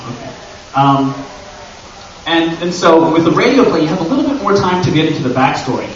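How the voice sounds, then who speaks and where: A man presents informally to a room in a slightly high voice, joking and posing rhetorical questions with a theatrical flair. He is heard from far off in a very echoey space, somewhat muffled. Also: a little hiss or hum. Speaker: convention panel presenter. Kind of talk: informal live presentation to an audience. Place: large echoey indoor panel room.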